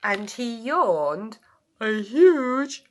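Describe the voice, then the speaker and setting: A woman reading a children's bedtime story aloud in a theatrical, drawn-out way, dropping into hushed tones. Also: fairly high pitch, very animated. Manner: theatrical, drawn-out, with hushed whispers and acted yawns. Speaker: storybook reader, school staff member.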